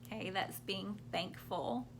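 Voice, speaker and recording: A woman's voice: mid-range voice, slow pace, vocal fry, a little hiss or hum, poor audio. A woman hosting a children's storytime, reading picture books aloud expressively and explaining things simply for young listeners.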